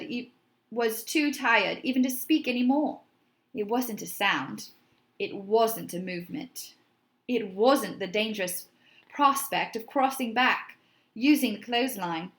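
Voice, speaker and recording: A woman's voice: medium pitch, fairly bright tone. A woman reading a children's storybook aloud expressively, like a teacher putting questions to young listeners.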